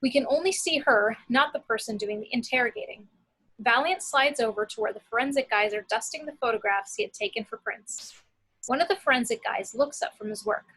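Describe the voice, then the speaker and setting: A woman narrates a script as the reader of action lines. Her voice is on the high side and clearly bright, with a forward edge. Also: a little fast, rough recording. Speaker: narrator reading action descriptions.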